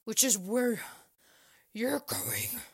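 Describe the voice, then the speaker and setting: A woman's voice: fairly high pitch, very animated, drawn-out words, vocal fry, slightly rough, no room sound, clean studio sound, full character voice. A woman voicing a stern, confident male officer with a firm, authoritative manner in a children's story.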